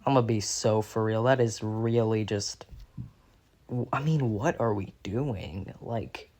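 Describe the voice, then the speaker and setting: Slow, halting young man, exasperated. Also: on the low side, a little mellow. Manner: halting, repetitive, groping for words; exasperated, sweary. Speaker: video commentator. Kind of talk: unscripted critical rant.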